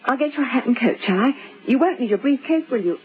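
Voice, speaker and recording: A polite, attentive woman speaks high and with very lively swings of pitch. It sounds like a vintage radio play, slightly muffled. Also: fast. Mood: concerned, helpful. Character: polite, solicitous woman of a suburban drama. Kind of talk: old-time radio drama acting.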